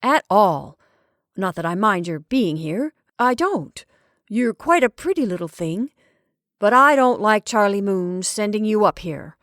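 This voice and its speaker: A woman's voice: medium pitch, big pitch swings, measured pace, a bit bright, no echo. A woman reading as an earnest, pedantic young male scholar who lectures in precise, formal sentences, recorded dry and close.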